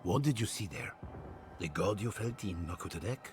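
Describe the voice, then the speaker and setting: Game scholar: eager, halting, lowish register, lively intonation. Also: male voice, faint music behind. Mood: curious. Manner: halting, searching for words. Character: eager, hesitant male scholar with theories. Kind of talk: video game cutscene dialogue.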